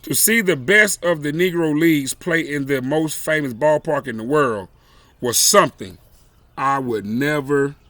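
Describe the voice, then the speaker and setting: Guy reading a kids book out loud, kinda high forceful voice, emphatic w dramatic pauses. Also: dry recording. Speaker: volunteer storyteller reading a children's book. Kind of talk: expressive read-aloud storytelling with brief asides.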